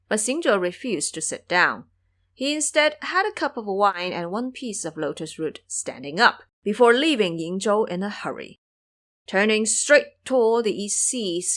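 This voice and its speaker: Woman, medium pitch, very lively intonation, scripted narration, fantasy storytelling.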